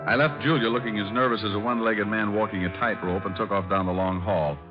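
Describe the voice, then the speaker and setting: An American actor playing a wisecracking, unflappable private detective in a wry, glib radio drama style. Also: male voice, fairly low pitch, fairly even intonation, quick pace, lightly acted. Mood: wry narration. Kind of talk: 1940s radio acting with first-person narration. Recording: muffled old radio recording, music behind.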